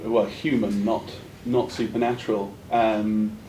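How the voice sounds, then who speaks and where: Low, mellow-voiced man in echoey room. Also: level tone, a little slow. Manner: hesitant, polite, discursive. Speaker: audience questioner. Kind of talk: academic conference discussion. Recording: echoey room with faint rumbling noise.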